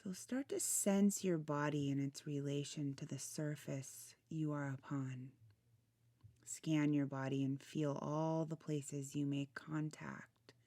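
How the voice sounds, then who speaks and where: A woman leading a guided relaxation meditation in a soothing, gentle manner, with soft, breathy phrase endings. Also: low-pitched, steady tone, takes it slowly, dry recording. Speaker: meditation guide, channel host.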